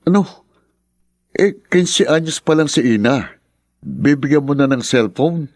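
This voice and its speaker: A man's voice: fairly high pitch, lively intonation, a little slow, a little mellow, dry recording, clean studio sound. An actor playing a sensible, exasperated younger male friend in a radio drama, with a blunt, nagging, teasing manner.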